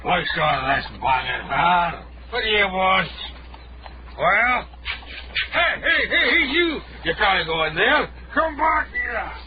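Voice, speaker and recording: A man's voice: high-pitched, very animated, slow pace, heavily acted. An actor playing an indignant male servant guarding a door in a period radio drama. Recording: muffled, hissy old-radio style recording.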